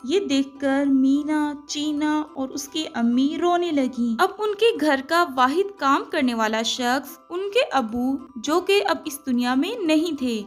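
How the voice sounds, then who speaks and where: A high-voiced woman narrates a children's moral tale in scripted storybook style. Music plays behind her as she tells it. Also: animated, slow, hardly any pauses.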